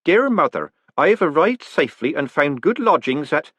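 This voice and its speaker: A man's voice: a little high, very animated, normal speed, fairly bright tone, slightly rough, dry recording, pitched above the performer's own voice, full character voice. A man voicing a talking letter as a rambling country boy with a scratchy quality. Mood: dutiful.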